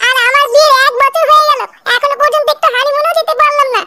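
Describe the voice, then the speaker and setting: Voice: very high-pitched, steady tone, rapid-fire, heavy background noise. A performer voicing a nagging, pleading young wife in a crude comic cartoon, with whining, insistent complaints. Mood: aggrieved.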